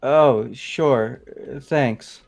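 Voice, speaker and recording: A man's voice: mid-range voice, extremely slow, vocal fry, a little mellow, soft background music. A man voicing a nervous young man who rambles defensively through a confession, as fan voice acting of a game. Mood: anxious.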